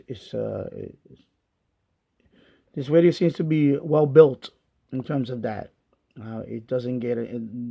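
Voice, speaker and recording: A man giving a casual hands-on product review in a halting way, repeating himself as he goes. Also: mid-range voice, vocal fry. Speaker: gadget reviewer and radio hobbyist. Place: basement of a house. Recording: dull lo-fi home video sound.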